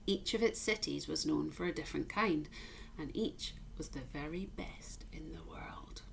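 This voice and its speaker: A woman reads a children's storybook in a fairly low voice with a distinctly creaky edge. Her narration is expressive and emphatic, as a teacher would read to a class. There is some audible background noise. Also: normal speed. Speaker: teacher reading aloud for home learning.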